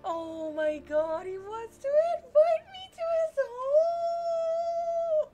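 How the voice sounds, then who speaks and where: A woman's voice: extremely high pitch, lively intonation, very slow. A woman streaming a game, rambling and gushing with emotion and some swearing. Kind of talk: excited gaming stream commentary. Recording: stream with loud game music behind.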